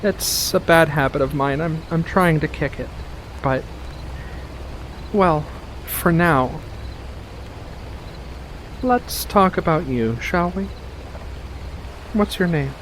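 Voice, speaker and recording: A man performs a playful witch in a soft-spoken ASMR roleplay, coaxing and teasing with a hint of menace. The pitch sits a little high for a male voice and the delivery is very leisurely, full of deliberate pauses. Soft rain and faint hiss lie behind the words. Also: poor audio. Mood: playful, musing. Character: playful, coy female witch in a forest cottage, faintly menacing.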